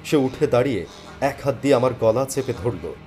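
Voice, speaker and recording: A man's voice: mid-range voice, expressive, a little fast. A man giving dramatic, scripted first-person narration of a story. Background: background music.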